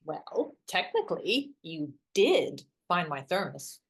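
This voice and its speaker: An actress playing an awkward, literal-minded young woman in a play reading, a hopeless romantic with a hesitant, wry deadpan manner. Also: low voice, slow, exaggerated voice. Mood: dry, hopeful. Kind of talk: two-hander stage play reading.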